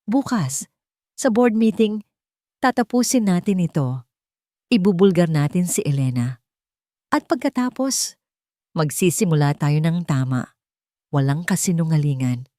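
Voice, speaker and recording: A woman's voice: lowish voice, expressive, unhurried, long pauses, mellow, no room sound. A woman narrator plays a devoted Filipino CEO in a romance audio drama. He speaks with earnest, dramatic intensity, in a dry recording. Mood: tender, resolute. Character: intense, devoted male CEO lover under threat.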